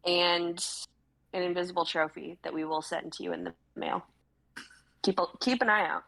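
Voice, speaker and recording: Young woman on a stream, playful, lots of vocal fry, lowish voice. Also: steady tone, moderate pace, a bit bright, poor audio. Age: 20s. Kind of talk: casual livestream chat.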